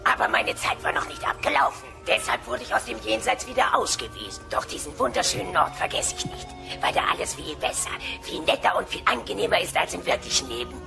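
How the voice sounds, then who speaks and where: A woman playing a cantankerous old dinosaur grandmother in a comedy audio play, with a gravelly voice and snappish, sarcastic delivery. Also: mid-range voice, sing-song, quick pace, few pauses. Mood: dry. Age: older. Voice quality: creaky, gravelly. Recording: echoey audio with music underneath.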